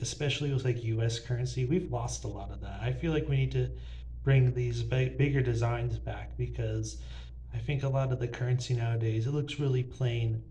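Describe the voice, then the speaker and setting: Mellow-voiced young man showing banknotes. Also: medium pitch, a little hiss or hum, low-quality recording. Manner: casual, rambling, many fillers like "kinda" and "like". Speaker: banknote collector. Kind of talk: casual show-and-tell narration. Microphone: phone filming banknotes.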